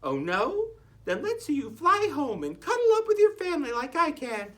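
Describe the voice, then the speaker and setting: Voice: sits high, huge pitch swings, moderate pace, fairly bright tone, voice pushed up, full character voice. A reader voicing a boastful little bird in a children's storybook, chirpy, teasing and exaggerated. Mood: mocking. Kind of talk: animated children's storybook read-aloud.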